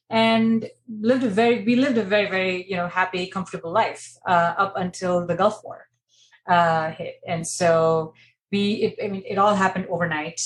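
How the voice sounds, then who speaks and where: A woman's voice: on the low side, slight background noise, poor audio. A woman in her thirties from Kuwait, telling her life story in a podcast interview with many fillers and restarts. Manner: conversational, many "you know" fillers and restarts. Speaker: interior designer, guest.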